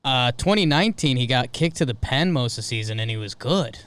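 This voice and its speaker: A man's voice: mid-range voice, animated, brisk, fairly bright tone, clean tone. A man co-hosting a sports podcast in casual, conversational chat.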